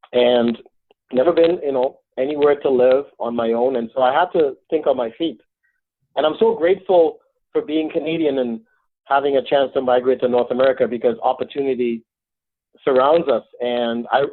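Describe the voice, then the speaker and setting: Enthusiastic Trinidadian man on a tinny line. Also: mid-range voice. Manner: earnest, enthusiastic. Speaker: guest. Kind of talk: interview answers. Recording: dry, tinny phone-like line.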